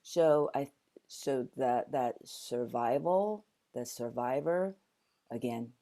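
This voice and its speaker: Older woman from Taiwan, very low voice, reflective storyteller. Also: slow, warm tone. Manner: reflective storytelling with frequent restarts. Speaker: guest, dancer and actor. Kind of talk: conversational interview.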